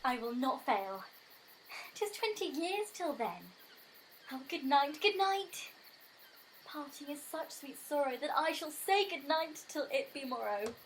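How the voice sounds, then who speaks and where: A woman's voice: sits high, big pitch swings, measured pace, slight background noise, full character voice, rough recording. A woman acting a young, lovestruck, earnest and anxious maiden in a Shakespearean audio drama, with theatrical verse delivery, recorded at home on a phone. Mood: tender.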